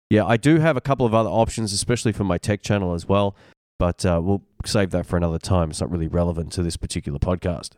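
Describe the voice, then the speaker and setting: A man in his thirties hosts his podcast with a slightly nasal, blocked-up voice left by a cold. His tone sits a little low and stays smooth. He rambles in a friendly way into a close mic in a dry room. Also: a little mellow, dry recording. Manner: rambling, chatty, with fillers and restarts. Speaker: podcast host. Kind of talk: casual solo podcast talk.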